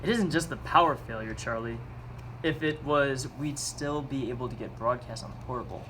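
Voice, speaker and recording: A mid-pitched male voice acted by a man as a level-headed suburban man who takes charge. It is an amateur script read-aloud with faint room noise. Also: moderate pace, natural voice. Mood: reasoning, decisive.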